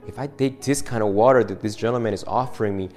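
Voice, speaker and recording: A man's voice: lowish voice, quick pace, a little mellow, faint music behind, natural voice. A man voicing a wary, guarded woman who blurts out her thoughts as she hesitates. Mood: pleased. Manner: hesitant, blurting, talking to herself. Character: wary, guarded woman fetching water, adult.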